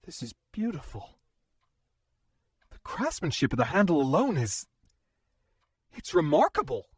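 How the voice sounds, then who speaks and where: A man's voice: a little high, huge pitch swings, moderate pace, slightly rough, no echo, exaggerated voice. A man playing a polite, level-headed adventurer with formal wording in a fantasy audio drama. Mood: awed, excited.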